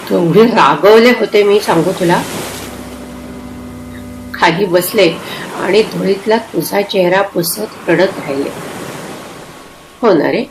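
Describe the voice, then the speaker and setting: A woman performs a proud, yearning lover in a literary audio drama. She speaks very slowly, with intimate, pleading emotion. The sound is roomy and echoing, with prominent sea waves behind her. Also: mid-range voice, background noise, close to an everyday voice. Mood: aching remembrance. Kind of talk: dramatized literary reading in dialogue. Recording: roomy echo, loud sea-wave ambience.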